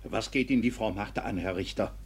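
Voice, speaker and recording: An actor playing a stern, educated court inspector in a classic radio play, formal and reproving. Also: male voice, lowish voice, fairly quick, slight background noise, close to an everyday voice. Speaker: actor as visiting official.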